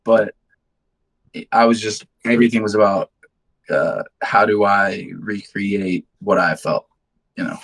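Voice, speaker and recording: A man's voice: lowish voice, measured pace, vocal fry, pauses often, a bit bright. A man in his twenties from London who later moved to the States, chatting casually in an interview with a laid-back, slangy, sweary way of telling stories. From London, England, then United States from high school. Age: 20s. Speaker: band musician being interviewed.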